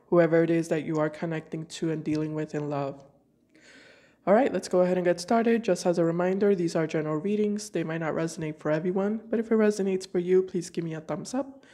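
Lady tarot reader w a cold, mellow voice, kinda stops and restarts while thinking out loud. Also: on the low side, fairly even intonation, quick pace. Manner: soft, thinking aloud with hesitant restarts. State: sick with a cold. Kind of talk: casual tarot reading to camera. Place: home room with decorated card table.

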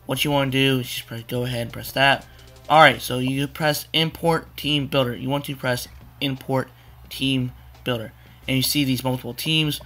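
A young man with a hoarse, cracking voice, rambling casually through a video game walkthrough with many fillers. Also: medium pitch, steady tone, moderate pace, pushed and bright. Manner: rambling, repeats "you wanna" with fillers. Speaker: gaming tutorial maker. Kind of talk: casual screen-capture walkthrough.

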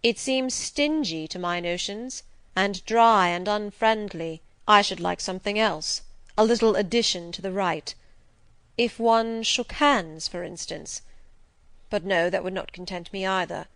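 Storybook gentleman, played by a woman: commanding, theatrical, gruff and teasing, very dry close sound. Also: mid-range voice, unhurried, no room sound. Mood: dissatisfied, wry. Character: gruff, wry, domineering gentleman teasing his employee. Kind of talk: dramatised classic novel reading.

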